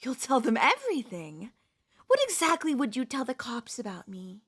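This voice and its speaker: A woman playing a possessive, manipulative wife in an ASMR audio roleplay, speaking to the listener in a sweetly condescending, deliberate, theatrical way. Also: on the high side, wildly swooping pitch, fairly bright tone, breathy edge, exaggerated voice. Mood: mocking, irritated. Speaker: voice actress.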